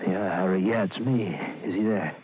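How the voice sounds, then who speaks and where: A man playing a desperate small-time American crook on the run in a 1950s radio drama, speaking in urgent, halting slang. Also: sits low, steady tone, natural voice. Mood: desperate, impatient. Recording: muffled vintage radio recording, faint noise.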